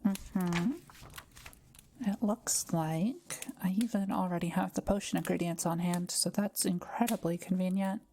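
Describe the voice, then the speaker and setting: A woman playing a kind, quiet forest witch in an audio roleplay, speaking gently and patiently as she explains things to the listener. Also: fairly low pitch, fairly even intonation, a little slow, slight background noise. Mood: kind, reassuring. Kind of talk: soft-spoken audio roleplay addressed to the listener.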